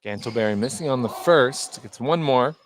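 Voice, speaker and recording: A man calling live high school basketball play-by-play as a radio commentator. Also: moderate pace. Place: indoor basketball gym during a game. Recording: noisy gym ambience, bouncing basketballs. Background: loud gym ambience, basketball bounces.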